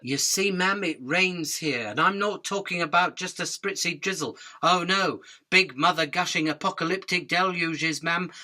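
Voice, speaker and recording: A cheeky male fly from a radio drama, acted by a man, with a highish, very bright, forceful voice. He wheedles and rambles, mixing grovelling politeness with impudent bargaining. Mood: ominous, teasing. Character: cheeky, pleading, fast-talking male fly caught by a spider. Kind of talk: illustrated radio drama acting.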